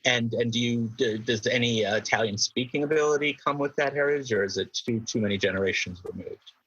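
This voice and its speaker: A man interviewing a guest on the radio, rephrasing his questions haltingly with fillers. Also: medium pitch, fairly bright tone, slightly rough, highs cut off.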